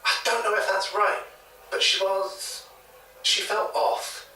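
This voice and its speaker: A man with a British accent playing a hesitant, gossipy local retelling a ghost story in a halting, chatty way for an audio drama. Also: high voice, pushed and bright, recorded from a distance, heavily acted. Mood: uneasy, earnest. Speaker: interviewee, former hotel worker. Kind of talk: naturalistic audio drama interview. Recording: echoey taped interview, off mic.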